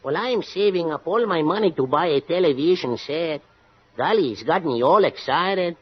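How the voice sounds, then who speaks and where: A man's voice: a little high, animated, moderate pace, husky. An actor playing a naive, polite Mexican handyman with a Mexican accent and earnest comic delivery in 1940s radio comedy. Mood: eager, earnest. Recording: muffled vintage radio recording, studio audience.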